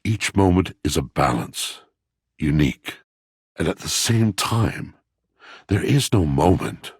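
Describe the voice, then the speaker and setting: A very low, rough-edged male game character, a lovelorn lover of poetry. He speaks in grand, florid swells with theatrical pauses. The sound is close and dry. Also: lively intonation, dry recording, exaggerated voice. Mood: musing, melancholy. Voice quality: deep, gravelly. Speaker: voice actor in a video game.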